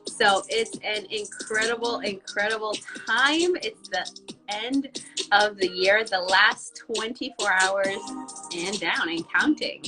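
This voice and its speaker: A young woman, raspy from a cold, hosting a live stream and effusively greeting her viewers by name. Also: mid-range voice, takes it slowly, over music, poor audio. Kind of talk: live-streamed motivational talk.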